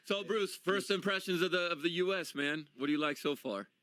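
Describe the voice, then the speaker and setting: A man's voice: sits high, a little fast, pushed and bright. A man hosting a talk show, bantering with guests and co-hosts, asking questions and teasing in a jokey way. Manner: jokey, asks questions, teases co-host. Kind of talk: upbeat morning show banter.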